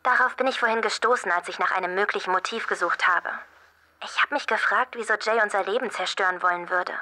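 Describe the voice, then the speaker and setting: A woman's voice: mid-range voice, fast, bright, forceful tone, natural voice. An actress playing an assertive, analytical teenage schoolgirl who explains things pointedly in an audio drama. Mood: intent, serious. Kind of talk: naturalistic audio drama acting.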